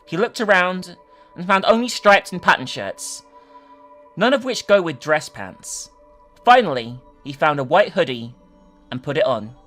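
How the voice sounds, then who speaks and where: Animated, bright-voiced dramatic story reader. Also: medium pitch, unhurried, soft background music. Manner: animated, sweary, incredulous in asides. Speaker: online video host. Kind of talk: dramatic reading of a horror story with ranting commentary.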